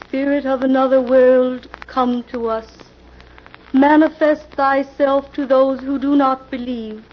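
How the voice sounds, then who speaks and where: A high-voiced, slow-speaking séance medium, theatrical and commanding. It is 1940s radio drama on a muffled, hissy old recording. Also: female voice. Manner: solemn, slow, incantatory. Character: theatrical female spirit medium, commanding then alarmed.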